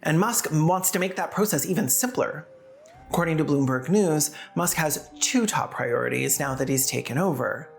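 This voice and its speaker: A woman's voice: low voice, expressive, normal speed, creaky, faint music behind. A woman narrating scripted video commentary in a sardonic way, with casual asides. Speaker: video essayist and host.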